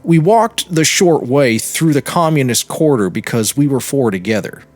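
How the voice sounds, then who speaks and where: A man narrating a literary short story in a measured, dramatic reading with deliberate pauses. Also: medium pitch, expressive, darkish tone, no room sound. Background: music.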